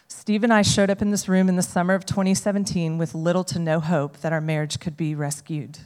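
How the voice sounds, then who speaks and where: A woman reading a prepared personal testimony to a group, in an earnest, measured way. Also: low voice, darkish tone. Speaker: speaker sharing a testimony. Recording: long hall reverb.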